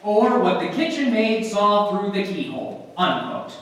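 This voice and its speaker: A man's voice: high-pitched, measured pace. A man playing a cynical, sarcastic magazine writer in an old-time radio drama. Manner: sarcastic, excitable. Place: live stage performance. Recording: echoey hall, distant mic.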